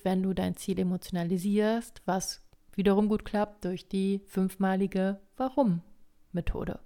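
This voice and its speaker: A woman hosting a podcast that guides writing meditations, talking gently and unhurriedly, her voice a little hoarse from a cold. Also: mid-range voice. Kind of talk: calm guided podcast talk.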